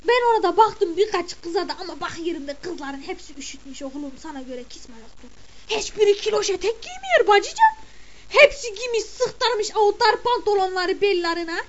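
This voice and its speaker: A woman's voice: extremely high pitch, sing-song, normal speed, muffled recording. A woman playing a gossipy, grumbling village mother, chattering, exclaiming and scolding in a comic monologue. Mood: indignant.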